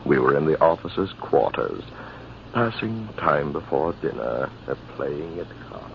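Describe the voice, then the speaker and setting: An actor playing a measured military officer, formal and deliberate, telling a tale in an old-time radio drama. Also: male voice, a bass voice, animated, gravelly, heavily acted. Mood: grave, reflective. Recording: dull, noisy vintage radio recording.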